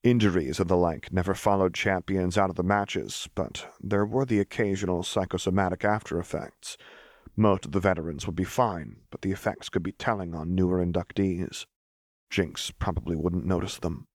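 A man's voice: sits low, steady tone, normal speed, a little mellow, dry recording, studio quality. A man reading a story aloud as scripted audiobook narration. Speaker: audiobook reader.

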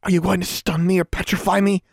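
A man acts out an anxious young schoolgirl witch in a storybook reading, pitched way up high. The delivery is breathy, accusing and insistent, in a dry recording. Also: little pitch movement, slow pace, no room sound, exaggerated voice. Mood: insistent whisper.

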